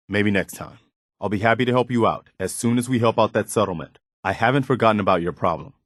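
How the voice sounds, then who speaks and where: A dutiful militia leader in a post-apocalyptic game, speaks in a fairly low male voice. He is earnest and measured, sincere with his companion. The sound is very dry, with no room around it. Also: no room sound. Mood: friendly, earnest. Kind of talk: video game companion dialogue.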